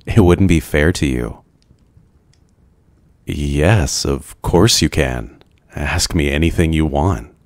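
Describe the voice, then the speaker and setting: Very deep, slow-talking brooding male vampire. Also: dry recording. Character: brooding, lonely, ancient male vampire, gentle and courteous. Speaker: roleplay voice performer. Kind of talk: ASMR boyfriend roleplay, scripted.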